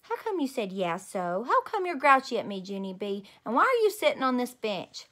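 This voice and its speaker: A woman's voice: on the high side, big pitch swings, fairly quick, bright, forceful tone, exaggerated voice. A woman voicing a chatty, eager young girl in a storybook, with an insistent manner. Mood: hurt.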